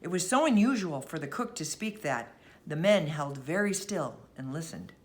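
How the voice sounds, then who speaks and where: A woman reading a bedtime story aloud as narrator, expressive and deliberate. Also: deep voice.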